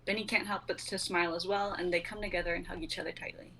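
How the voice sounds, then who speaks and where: Low-voiced young woman reading stage directions. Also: little pitch movement, fast talker, pushed and bright. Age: young adult. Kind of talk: scripted narration. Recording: noisy amateur livestream audio.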